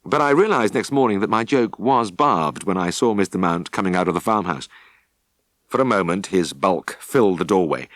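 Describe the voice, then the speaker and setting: A British man narrating a first-person story for an audiobook with expressive, scripted delivery. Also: low-pitched, moderate pace, no room sound.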